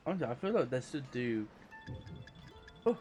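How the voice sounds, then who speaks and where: Animated, informal man commenting on a game. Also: mid-range voice, moderate pace. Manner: rambling, informal, swearing. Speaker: game streamer. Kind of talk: casual gameplay commentary. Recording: game music behind commentary.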